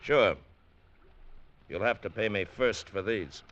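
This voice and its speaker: A deep, hard-boiled sea captain. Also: male voice, animated, slow, a bit bright, dull audio. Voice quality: blunt, dry, hard-boiled. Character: tough, sardonic boat captain. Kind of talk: 1940s radio acting.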